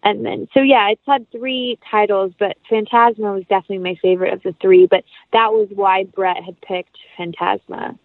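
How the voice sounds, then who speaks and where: A woman chats casually as an interview guest, heard through dull, lo-fi audio with little room sound. Her pitch is middling for a woman. She rambles in a talkative, self-deprecating way. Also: fairly even intonation, moderate pace, few pauses, dry recording. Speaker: actress, producer and interview guest. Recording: dull, lo-fi, band-limited audio.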